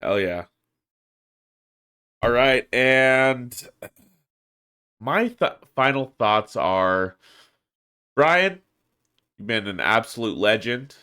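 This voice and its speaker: Stuffy-nosed guy hosting, talks real slow, chill. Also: mid-range voice, expressive, bright, forceful tone, clean tone. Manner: laid-back, laughs easily. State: congested. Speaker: podcast host. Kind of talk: casual chat.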